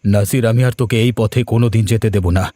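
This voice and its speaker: Man acting an earnest, low-voiced repentant crook. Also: fairly even intonation, a little fast, warm tone, no room sound, lightly acted. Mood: stern. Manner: earnest, admonishing. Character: repentant male crook, an earnest, protective older comrade. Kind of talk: cartoon voice acting.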